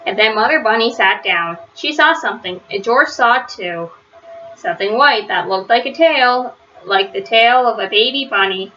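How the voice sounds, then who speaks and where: A woman reading a children's story aloud, phrasing it simply and deliberately and stressing words for young listeners. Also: on the high side, expressive, pushed and bright, highs cut off, slight background noise. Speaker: storybook reader. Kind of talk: children's read-aloud narration.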